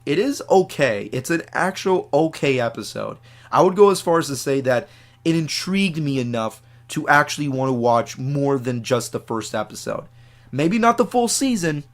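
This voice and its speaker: A man with a hoarse voice, recovering from losing it, giving a casual, rambling spoken review to his viewers. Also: fairly bright tone. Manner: chatty and rambling, big pitch swings on emphasis. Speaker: video reviewer.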